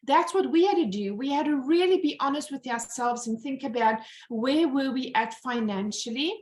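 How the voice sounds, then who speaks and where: A woman's voice: sits high, normal speed. A South African woman in her fifties, telling her personal story in a presentation over a video call. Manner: earnest storytelling with rhetorical questions. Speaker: business owner giving a presentation. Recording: roomy echo.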